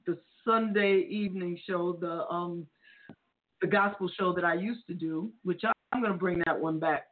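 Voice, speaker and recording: Woman, show host, excitable, gushing, playful, phone-thin sound. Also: fairly low pitch. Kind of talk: casual talk show chat. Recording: tinny phone-like audio.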